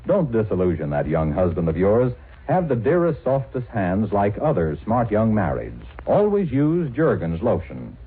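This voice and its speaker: A man's voice: fairly low pitch, huge pitch swings, normal speed, husky, phone-quality sound. An American man announcing a 1940s radio commercial with persuasive, polished salesman delivery.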